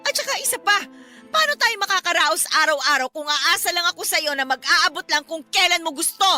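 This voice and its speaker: A defiant Filipino wife with a very high, piercing voice. She scolds with heated, emphatic radio-melodrama emotion, over a music bed. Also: lively intonation, fairly quick, bright, forceful tone, exaggerated voice. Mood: angry, reproachful. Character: fed-up, defiant wife and mother berating drunken husband.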